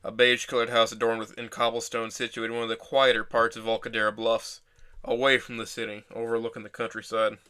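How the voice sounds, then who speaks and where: A man voicing an injured, self-deprecating teenage boy who narrates his own story in a measured, wry, deadpan way for an audio drama. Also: mid-range voice, clean tone, lightly acted. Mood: descriptive. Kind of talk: first-person scripted narration.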